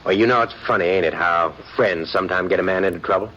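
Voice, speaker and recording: An actor playing a blustering frontier bully who wants to be sheriff, drawling and menacing in a 1950s radio play. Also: male voice, low voice, quick pace, a bit bright. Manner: menacing at first, then stammering. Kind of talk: 1950s radio drama acting. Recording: muffled vintage radio broadcast with hiss.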